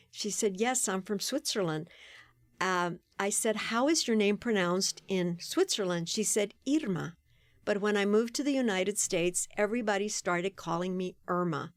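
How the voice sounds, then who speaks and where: A woman speaking as a podcast guest, recounting stories with animation and frequent laughter. Her voice sits in a middle pitch and is recorded dry and close, with no room sound. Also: no echo. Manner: storytelling, animated, laughs often. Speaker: guest, playwright and performer. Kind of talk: podcast interview.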